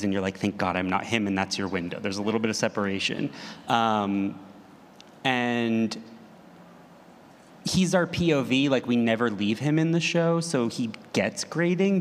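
A man speaking conversationally on a panel as an audio drama creator, explaining in an animated way. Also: medium pitch. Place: large room at a live conference panel. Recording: reverberant hall, faint room noise.

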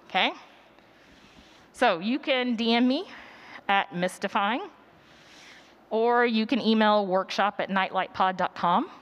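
Woman presenting an informal workshop. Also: mid-range voice. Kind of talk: informal workshop teaching with audience questions. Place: conference session room with an audience.

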